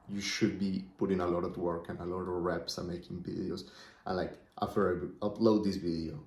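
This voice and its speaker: Deep-voiced man, Colombian accent, native Spanish speaker, rambling casual vlog, roomy echo. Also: a little mellow. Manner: rambling, keeps asking "right?". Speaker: small business owner vlogger. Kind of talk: casual unscripted monologue.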